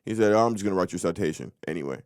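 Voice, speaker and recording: A young man co-hosting a podcast, telling stories in a loose, animated way and acting out what people said. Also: low voice, rapid-fire, no echo, clean studio sound. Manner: animated storyteller, acts out quotes. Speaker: podcast co-host. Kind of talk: casual storytelling chat.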